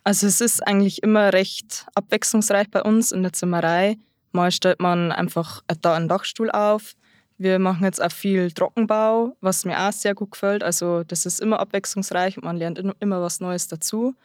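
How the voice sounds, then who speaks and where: A woman's voice: mid-range voice, steady tone, normal speed, a little mellow, no room sound, clean studio sound. A nineteen-year-old woman answering interview questions about her trade in a casual, hesitant way, with frequent fillers and restarts. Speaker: guest, apprentice-trained carpenter.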